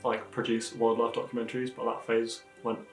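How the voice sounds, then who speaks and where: 20yo student guy from london, mid voice, casual interview, echoey, soft guitar. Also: mid-range voice, completely flat delivery, a little fast. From southwest London. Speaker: university student. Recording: echoey room.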